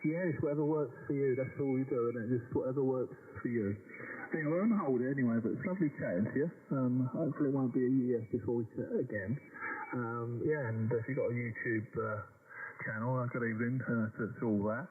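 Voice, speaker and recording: A man chatting on a ham radio contact in a relaxed, friendly way. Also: mid-range voice, brisk, a little hiss or hum. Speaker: ham radio operator calling in. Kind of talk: casual radio contact chat. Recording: tinny radio audio.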